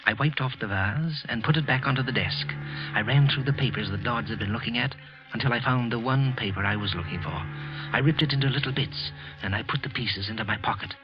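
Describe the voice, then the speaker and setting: A man's voice: medium pitch, close to an everyday voice. Muffled old-radio actor as a brooding male killer. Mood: cold calm. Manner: deliberate, brooding, intense. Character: guilty office worker confessing murder, coldly deliberate yet nervous. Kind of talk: old-time radio thriller acting, first-person narration. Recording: muffled vintage radio recording with music.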